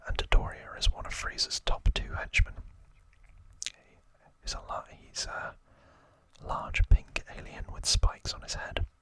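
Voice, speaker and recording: Guy doing asmr, all breathy whisper, crisp and bright, slow and soft. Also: no room sound. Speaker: host of an ASMR channel. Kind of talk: whispered ASMR trivia, part reading, part casual asides.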